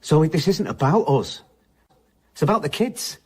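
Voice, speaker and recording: A man's voice: a little high, big pitch swings, measured pace, husky, full character voice. An actor playing a protective, worried husband and father in a naturalistic radio drama. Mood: worried, reproachful.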